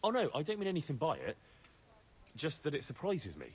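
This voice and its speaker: A man's voice: fairly high pitch, wildly swooping pitch, a little fast, slight background noise. A man playing an earnest, deferential young squire in a medieval video game, politely asking questions. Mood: flustered. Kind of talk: scripted video game dialogue.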